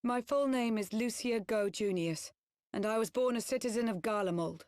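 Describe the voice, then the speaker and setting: A woman playing a composed, earnest ex-spy turned loyal knight in a video game cutscene, speaking in formal, measured, archaic phrasing. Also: on the high side, a little mellow, dry recording, clean studio sound, close to an everyday voice. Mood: solemn, confessional.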